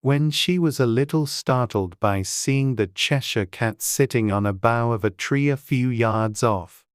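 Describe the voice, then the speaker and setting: A woman narrates a scripted storybook. Her voice is extremely low and her pace is slow and measured. The recording is dry, with no audible room. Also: dry recording. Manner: measured, unhurried. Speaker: audiobook narrator.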